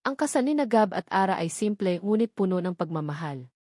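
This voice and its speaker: A woman's voice: lowish voice, expressive, fairly quick, mellow, no echo. Filipino woman narrating, dry close sound. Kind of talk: scripted romance story narration.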